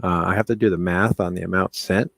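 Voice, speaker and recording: Low-voiced man casually hosting a livestream. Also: steady tone, fast, creaky, no room sound, clean studio sound. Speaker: live stream host.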